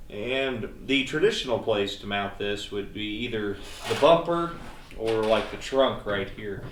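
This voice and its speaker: A man's voice: medium pitch, a little hiss or hum. A man hosting a casual DIY car repair vlog, talking folksily as he works, with tongue-in-cheek, mock-serious asides. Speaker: host of a car repair video channel. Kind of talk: casual DIY vlog narration while working. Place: garage workshop.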